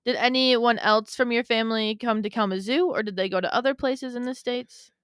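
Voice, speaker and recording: A woman's voice: fairly high pitch, fairly even intonation, a little fast, a bit bright, clear, resonant tone, no room sound, clean studio sound. A woman hosting a casual interview, chiming in often with short, affirming interjections. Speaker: interviewer, host. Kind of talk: casual supportive interview chat.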